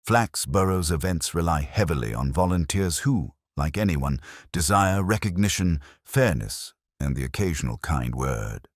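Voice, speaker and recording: Very deep, calm, diplomatic inspector played by a man. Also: moderate pace, few pauses, no echo, clean studio sound. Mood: mild, diplomatic. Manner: mild, steady, precise. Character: calm, diplomatic male village police inspector.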